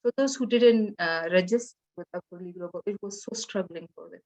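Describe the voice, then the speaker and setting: A woman from Afghanistan with an Afghan accent, telling her own story as a panelist in halting, simply phrased English. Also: lowish voice, a little mellow. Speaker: panelist sharing personal experience. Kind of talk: personal account on a virtual panel. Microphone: video call. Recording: dull, lo-fi online call audio.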